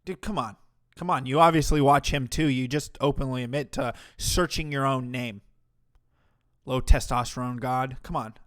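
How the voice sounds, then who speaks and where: A man's voice: mid-range voice, normal speed, fairly bright tone. A man hosting a livestream, reacting to a clip with mocking, profane commentary. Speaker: commentary streamer host.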